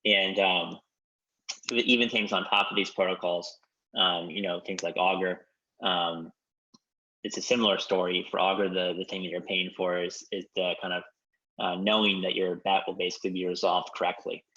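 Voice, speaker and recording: A man explaining in a measured way on a conference call, with frequent ums and you-knows. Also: deep voice, little pitch movement, sharp tone, a little hiss or hum. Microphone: conference call line. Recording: dull lo-fi conference call audio.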